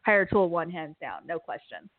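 A woman's voice: fairly low pitch, normal speed, dry recording. A woman from Kansas, a tech recruiter, talking casually and animatedly as a guest on a podcast. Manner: animated, chatty, laughs easily. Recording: tinny phone-like line.

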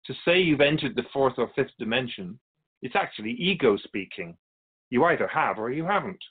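An actor playing a calm, wise, otherworldly keeper of souls who teaches in a measured, explanatory way as a guest in a radio drama. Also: male voice, mid-range voice, slightly rough, phone-quality sound. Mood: firm, explaining.

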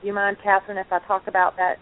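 A woman's voice: medium pitch, completely flat delivery, rapid-fire. Effusive woman co-host on a phone-like line. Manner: effusive, enthusiastic. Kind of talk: casual online talk show chat.

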